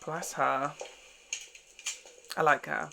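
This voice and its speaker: A man's voice: a little high, steady tone, extremely slow, creaky, a crowd in the background. A man giving casual reaction commentary as a host, with gossipy, exclamatory asides.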